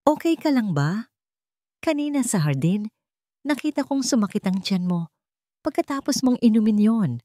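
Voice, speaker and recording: A woman's voice: fairly high pitch, animated, normal speed, pauses often, mellow, no echo, pitched above the performer's own voice, lightly acted. Woman narrator as a cold, commanding tycoon. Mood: calmer, commanding. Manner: curt, commanding, composed. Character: cold, commanding wealthy businessman, controlled and unemotional.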